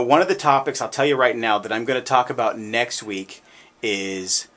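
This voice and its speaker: A man's voice: medium pitch, fairly bright tone. A man hosting a talk radio show, giving commentary in an emphatic, conversational way and often repeating his points. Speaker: radio show host, realtor.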